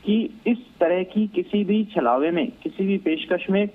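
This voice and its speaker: Male Indian correspondent, slightly high-pitched, measured and halting analysis, heard through a thin telephone connection. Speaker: news correspondent. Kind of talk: live phone report, analytical commentary. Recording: narrow, tinny phone line.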